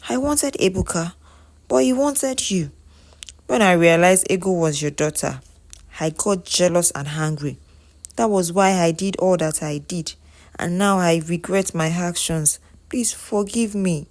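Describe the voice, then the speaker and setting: A woman's voice: fairly low pitch, measured pace, darkish tone. A Nigerian woman voicing a jealous, remorseful middle-aged mother in a story, confessing and pleading. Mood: bitter remorse.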